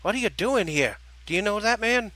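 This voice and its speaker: A brash storybook turkey warrior, played by a male narrator, excitable and goofy. He exclaims in a raised, forceful voice with a New Jersey accent. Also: high voice, a little fast, dry recording, voice pushed up. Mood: suspicious.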